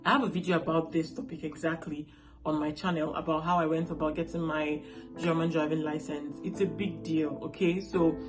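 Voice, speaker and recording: A woman's voice: low-pitched, little pitch movement, brisk, music in the background. A woman in her late twenties from Nigeria, with a Nigerian accent and Igbo as her first language, vlogging in a casual, chatty way and checking in with viewers often. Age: late 20s. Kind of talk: casual talk to camera.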